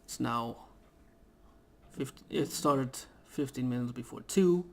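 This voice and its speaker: A young man talks casually over a game at home into a desk mic, and he rambles and hesitates with plenty of fillers. His throat is irritated, so he keeps clearing it. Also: medium pitch, takes it slowly. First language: Dutch. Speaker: gaming YouTuber. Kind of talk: casual let's play commentary. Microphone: desk microphone.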